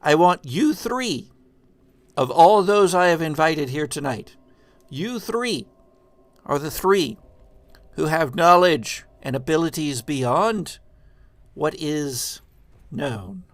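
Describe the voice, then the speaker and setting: Guy putting on a spooky old horror movie host, super slow and grand, dry close sound. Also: a little high, lively intonation, no room sound. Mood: grand, ominous. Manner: slow, portentous, halting pauses. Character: theatrical spooky male hologram host, grave and grandiose. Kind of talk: old horror-movie monologue in a tabletop game.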